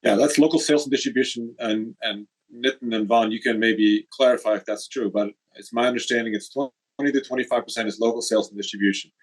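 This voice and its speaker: A man's voice: medium pitch, fast talker, hardly any pauses, slightly rough, poor audio. A man speaking as a panelist, explaining a point carefully and checking it with the others. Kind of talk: panel discussion.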